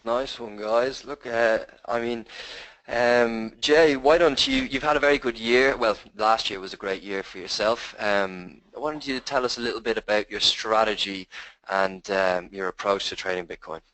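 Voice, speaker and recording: A man's voice: on the low side, fairly even intonation, moderate pace, a bit bright, poor audio. A man hosting an informal conference call, putting questions to his guests as interviewer. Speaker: host, interviewer.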